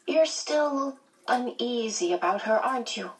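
Woman as a precocious little boy, high pitch, self-assured, insistent, audiobook character, slightly distant mic. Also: expressive, a little slow.

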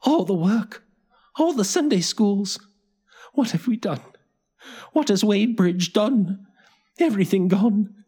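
A man voicing a frantic, half-mad male curate who wails and shouts in a high voice. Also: expressive, measured pace, long pauses, slightly rough, exaggerated voice. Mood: anguished. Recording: music playing behind.

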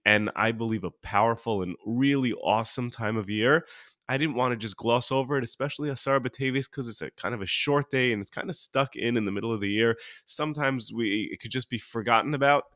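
An American man who is sniffly with a cold, hosting a solo podcast and teaching in an informal, halting way, rephrasing as he goes. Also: medium pitch, a bit bright, no echo, clean studio sound. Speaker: podcast host and religious teacher.

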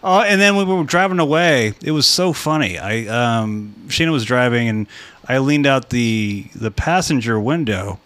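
A man's voice: mid-range voice, moderate pace, a bit bright. A man co-hosting a podcast, chatting casually and enthusiastically, often laughing. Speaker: podcast co-host. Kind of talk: casual chat.

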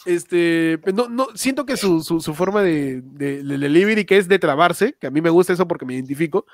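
A man's voice: a little high, lively intonation, a little fast, no room sound. A man chatting casually about stand-up comedy, rambling, restarting often and using lots of slang. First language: not Spanish.

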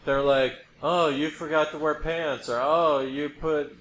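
A man's voice: on the high side, fairly even intonation, unhurried, a bit bright. A man hosting a podcast, explaining his opinions casually and emphatically, repeating points for effect. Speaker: podcast host. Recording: roomy echo, background noise.

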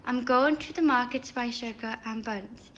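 A woman's voice: normal speed, fairly bright tone, a little hiss or hum, lightly acted. A woman playing a mother cautioning her young children in a children's radio play. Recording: dull, faintly rumbling recording.

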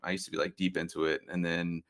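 A man's voice: low-pitched, level tone, fast talker, a bit bright. A young adult man chatting casually as a podcast guest, rambling with lots of "like" and "uh" and frequent restarts. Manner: rambling, many fillers and restarts. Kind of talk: casual conversation.

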